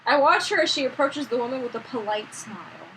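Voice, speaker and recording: A woman's voice: a little high, big pitch swings, fairly quick. A woman hosting a let's play, reading visual novel narration aloud. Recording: noisy room recording, no proper mic.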